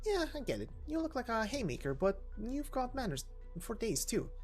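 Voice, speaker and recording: A woman's voice: on the high side, very animated, normal speed, a little mellow, soft background music, voice pushed up. A woman voicing a chatty, cheeky young woman helper with slangy, breezy talk in a game story reading. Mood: breezy, teasing. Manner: slangy, breezy, stumbling over big words.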